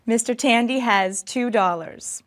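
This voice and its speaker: A woman in her thirties giving a scripted English lesson, deliberate and enunciated. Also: fairly high pitch, fairly bright tone. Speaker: English teacher. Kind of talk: slow scripted language lesson. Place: small classroom set, indoors.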